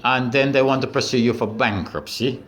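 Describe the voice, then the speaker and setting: A man's voice: medium pitch, moderate pace, a little hiss or hum. A man interviewing a guest, chatty and leading, often stumbling over his words. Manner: leading, chatty, stammering restarts.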